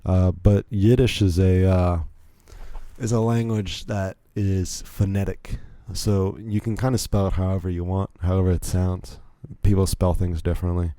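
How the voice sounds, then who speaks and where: Low, mellow-voiced American man rambling. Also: flat delivery, moderate pace, dry recording. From Virginia, United States. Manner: rambling, chatty, self-interrupting asides. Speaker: podcast host. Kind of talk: casual solo podcast storytelling.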